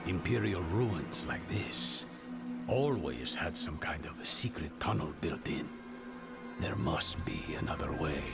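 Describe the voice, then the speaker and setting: An actor playing a wary, sardonic elder spirit-priest guide in a video game, with a dry, weighty delivery. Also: male voice, very deep voice, animated, slow pace, creaky, over loud music, exaggerated voice. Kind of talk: video game cutscene acting.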